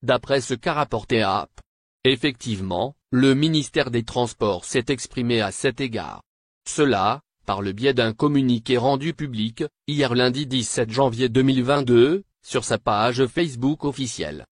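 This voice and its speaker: A man delivers scripted news narration in a medium-pitched voice. His manner is formal, like someone reading written copy. The recording is close and dry, with very little room sound. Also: normal speed, dry recording.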